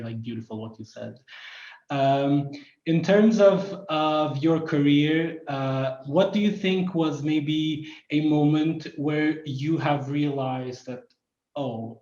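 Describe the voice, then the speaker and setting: A man's voice: mid-range voice, a little mellow. Young man, interview host, casual and encouraging, filler-heavy, echoey room, dull lo-fi sound. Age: young adult. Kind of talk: online interview.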